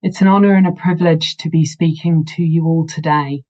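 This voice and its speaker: A woman, a nurse giving a measured conference talk over a video call. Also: deep voice, fairly even intonation, a little mellow, muffled recording. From New Zealand. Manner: measured, formal greeting in Māori. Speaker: nurse practitioner candidate. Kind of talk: conference presentation.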